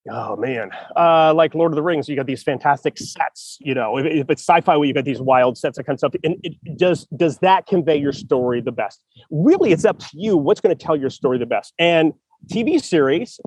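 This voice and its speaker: A man's voice: on the high side, a little mellow, breathy edge, dry recording. A man hosting a casual chat about writing, talking with enthusiasm and thinking aloud with many restarts. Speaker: podcast host.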